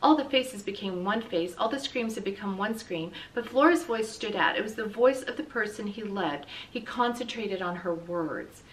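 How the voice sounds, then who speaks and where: A middle-aged woman reads a children's story aloud with expressive, dramatic, deliberate emphasis. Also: medium pitch. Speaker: school read-aloud reader. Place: small indoor room with bookshelf and blinds. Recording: distant mic, light room noise.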